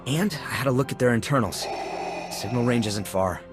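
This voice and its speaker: An actor playing an earnest, determined comrade in arms, serious and insistent. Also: male voice, medium pitch, moderate pace, natural voice. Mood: urgent conviction. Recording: game music behind the voice.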